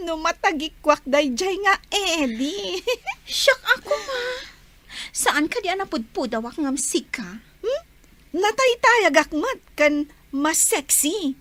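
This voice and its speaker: A woman's voice: very high-pitched, sing-song, takes it slowly, pushed and bright, full character voice. A woman playing a jealous, mocking young woman in a radio drama, with exaggerated, teasing delivery.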